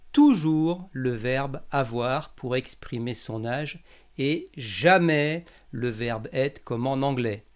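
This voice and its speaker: A man from France with a French accent, teaching a language lesson in a didactic way and carefully enunciating example phrases. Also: medium pitch.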